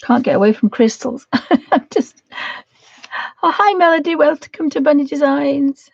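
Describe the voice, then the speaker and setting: A woman with a croaky voice hosting a casual livestream while colouring, talking softly and rambling, often half-whispered. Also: high voice, expressive, normal speed, no room sound, lo-fi sound. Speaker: livestream host.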